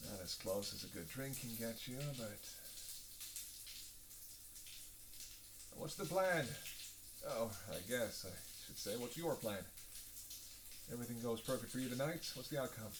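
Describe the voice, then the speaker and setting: A man's voice: mid-range voice, lively intonation, moderate pace, noisy background, natural voice. A man playing a sardonic, playful vampire who banters sarcastically with his hunter in an audio roleplay. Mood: relaxed, wry. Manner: sarcastic, playful, drawling asides with sighs and scoffs. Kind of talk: audio roleplay acting, one-sided dialogue.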